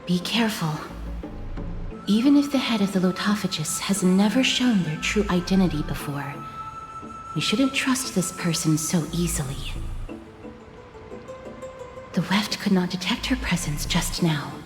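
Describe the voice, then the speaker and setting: A wary young woman from a fantasy game, solemn and formal, with a slightly low voice. She speaks in stern, measured phrases. The sound is reverberant like a large hall, with music underneath. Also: lively intonation. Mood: wary, hushed. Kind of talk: video game voice acting.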